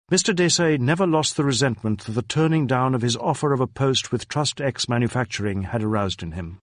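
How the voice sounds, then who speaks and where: Male narrator, medium pitch, scripted detective fiction read, very dry studio-style sound. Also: dry recording. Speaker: audiobook narrator. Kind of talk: scripted narration of a crime novel.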